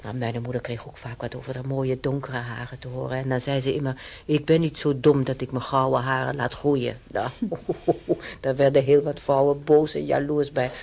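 A woman's voice: very deep voice, little pitch movement, moderate pace, creaky, few pauses, a little mellow, full character voice. An actress playing a chatty, wistful hospital patient in her seventies in a radio drama, rambling and homely as she reminisces. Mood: fond, proud. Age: 70s. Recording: noisy old radio play recording.

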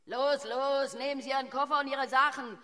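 A woman's voice: high voice, fairly even intonation, normal speed, sharp tone. A woman playing a brusque, mocking, bossy woman who turns people out, in a radio play. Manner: bossy, sarcastic.

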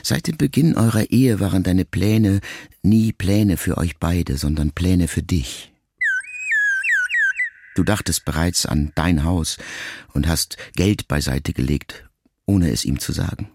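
Deep, gravelly male audiobook narrator. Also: mellow, dry recording, clean studio sound. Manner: measured, reflective, intimate first-person address. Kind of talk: scripted literary narration. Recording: music clearly under the voice.

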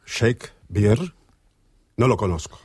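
An actor playing a haughty, bitter, ailing Spanish writer in a radio play, speaking in a formal, disdainful, declamatory manner. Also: male voice, lowish voice, expressive, measured pace. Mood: haughty, dismissive.

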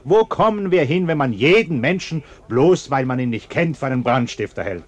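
A man's voice: mid-range voice, animated, moderate pace, muffled recording, close to an everyday voice. Blustering, self-justifying middle-aged bourgeois man, acted. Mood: indignant. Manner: defensive, blustering, sententious. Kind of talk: German radio play acting.

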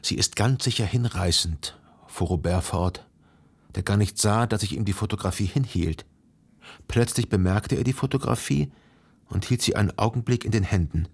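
Literary radio drama: contemplative, lovelorn narrator, measured delivery, raspy with heavy vocal fry. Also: male voice, lowish voice. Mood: reflective. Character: reflective, lovelorn literary narrator recalling his own past.

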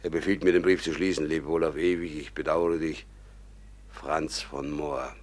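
An actor playing a dry, sceptical comrade in a band of rogues, with deliberate, sardonic delivery in a classical radio play. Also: male voice, extremely low pitch, steady tone. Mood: sardonic reading.

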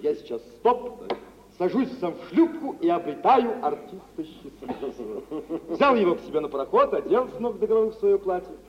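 An actor playing a boisterous, self-assured gentleman shipowner in theatrical mid-century radio drama, telling things in an expansive, swaggering way. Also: high voice, big pitch swings, moderate pace. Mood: boastful, amused. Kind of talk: mid-century Soviet stage acting for radio. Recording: muffled, noisy 1950s radio recording, reverberant hall.

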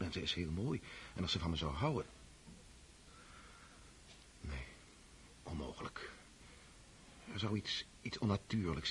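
An actor playing a brooding, self-doubting young count in a radio drama, speaking in a hesitant, introspective way. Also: male voice, rumbling low, slight background noise. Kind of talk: radio drama acting with inner monologue.

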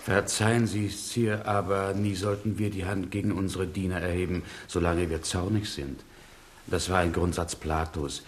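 An actor in a German radio play playing a learned philosopher who counsels a king in a deliberate, courteous, didactic manner. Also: sits low, steady tone, natural voice. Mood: calm, admonishing.

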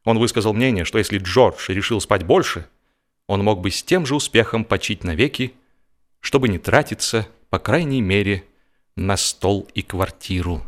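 A man's voice: on the low side, lively intonation, moderate pace. A man narrating a humorous story expressively, in an ironic, mock-serious manner.

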